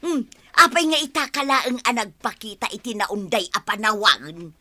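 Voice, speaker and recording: A woman playing an excitable, hospitable wife in an Ilocano radio comedy-drama, exclamatory and drawn-out, with an Ilocano accent. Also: a little high, no room sound, full character voice. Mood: delighted, welcoming. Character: excitable, hospitable wife greeting an old best friend.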